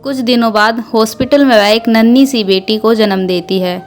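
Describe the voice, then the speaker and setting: Female narrator for a cartoon storybook tale, scripted read, slightly high pitch, music bed. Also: moderate pace. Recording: music under the voice. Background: background music.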